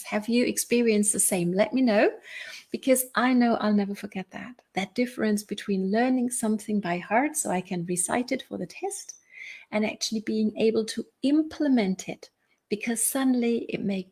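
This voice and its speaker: Woman giving a motivational talk. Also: medium pitch. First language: German. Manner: conversational, seeks agreement with rhetorical questions. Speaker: motivational coach and video host.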